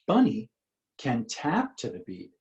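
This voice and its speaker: Slow-reading man, children's picture-book author. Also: medium pitch, huge pitch swings, a little mellow, reverberant, slight background noise. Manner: singsong, questioning, inviting participation. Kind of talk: picture-book read-aloud for young children.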